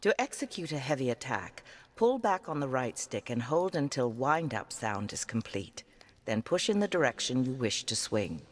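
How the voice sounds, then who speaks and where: An actor as the tutorial narrator of a game, reading scripted instructions. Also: male voice, mid-range voice, big pitch swings, normal speed, big-room sound, slight background noise, close to an everyday voice. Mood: instructive.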